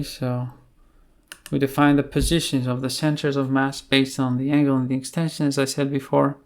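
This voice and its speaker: A man with a creaky, gravelly voice presents a software tutorial. His delivery is slow and quite flat in pitch. He talks it through off the cuff, in short, halting phrases. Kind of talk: unscripted software tutorial narration.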